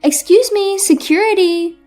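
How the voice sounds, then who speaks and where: A woman's voice: very high-pitched, unhurried, dark tone, soft background music, voice pushed up. A woman voicing an assertive female executive heir with a firm, direct manner in a narrated story. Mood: indignant.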